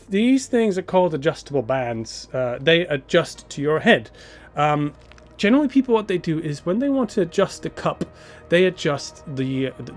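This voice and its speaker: A man giving a casual, unscripted gear review to camera, enthusiastic but halting, often repeating words and phrases. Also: on the high side. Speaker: product reviewer.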